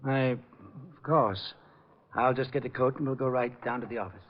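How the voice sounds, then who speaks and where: An actor playing a proper, cooperative insurance executive in a 1940s radio drama, formal and measured. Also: male voice, medium pitch, steady tone, heavy background noise, natural voice. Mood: obliging. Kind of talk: 1940s radio detective acting. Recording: dull vintage radio recording.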